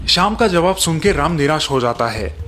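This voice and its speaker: A man reading scripted storybook narration in a measured storytelling manner. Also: on the high side, lively intonation, fairly bright tone, faint music behind.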